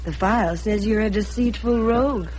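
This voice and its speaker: A woman's voice: medium pitch, moderate pace, a little mellow, natural voice. An actress playing a poised, candid young rich woman in a radio drama, speaking directly with cool composure. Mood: coolly teasing. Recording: noisy vintage radio drama recording.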